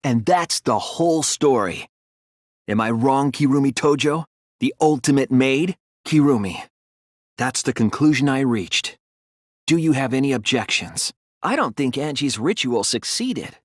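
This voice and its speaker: A man voicing an earnest, determined young student detective in a dramatic game dub, deliberate and analytical with a slightly husky voice. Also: medium pitch, expressive, no echo, clean studio sound. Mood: firm, accusing. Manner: deliberate, earnest, explanatory delivery with dramatic emphasis. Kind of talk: dramatic English game dub, deductive monologue.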